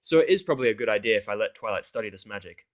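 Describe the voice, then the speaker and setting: A man's voice: fairly low pitch, lively intonation, racing. A man reading fiction aloud as a dramatic first-person narration. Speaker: audiobook reader.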